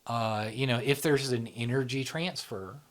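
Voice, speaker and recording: An average-pitched middle-aged man talks in a loose, rambling way. He keeps checking in with his listener as he explains. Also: a little slow. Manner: rambling, fills with "right?" and "you know". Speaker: show founder and host. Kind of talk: casual explanatory conversation.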